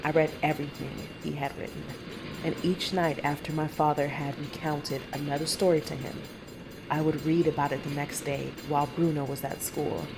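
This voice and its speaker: An actor playing a middle-aged mother and monster hunter who recounts past horrors in a measured, deliberate audio drama manner. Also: low voice, level tone, over music, rough recording. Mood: reflective. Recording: faint background noise.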